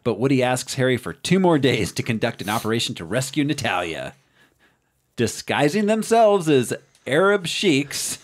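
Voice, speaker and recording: A man's voice: medium pitch, very animated, moderate pace. A man co-hosting a casual movie podcast, recapping the plot with frequent laughs and restarts. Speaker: podcast co-host.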